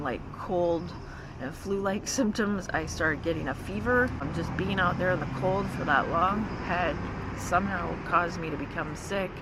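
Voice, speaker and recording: A woman vlogger telling a story in a casual, chatty way, full of "like" and "you know". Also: fairly low pitch. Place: forest campsite by a car. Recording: outdoor noise with vehicle hum.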